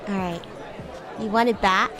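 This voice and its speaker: A woman's voice: mid-range voice, normal speed, a crowd in the background, voice pushed down, close to an everyday voice. A woman voicing a bratty, cute demon classmate in an improvised VTuber roleplay, teasing and coy, easily flustered into stammering. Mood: playful, casual. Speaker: VTuber streamer. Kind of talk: improvised VTuber roleplay, casual chat with viewers.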